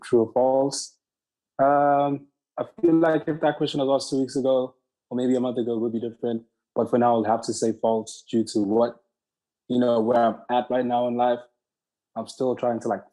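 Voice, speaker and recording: A man in his early twenties, a panel guest answering reflectively with hesitant pauses. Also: mid-range voice, dark tone, poor audio. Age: 20s. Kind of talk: casual group discussion.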